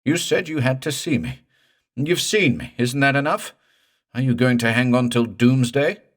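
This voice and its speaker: A man's voice: medium pitch, animated, normal speed, voice pushed up, close to an everyday voice. A man voicing a terrified man in a boat whose voice goes raw and screeching with panic. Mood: frantic.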